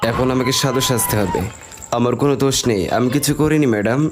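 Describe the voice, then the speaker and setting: A man's voice: medium pitch, noisy background, natural voice. A man voicing a boastful, vengeful cartoon cat in a theatrical, gloating manner for a fan dub. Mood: dismayed. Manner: theatrical, gloating with drawn-out villain laughs. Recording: loud music under the voice.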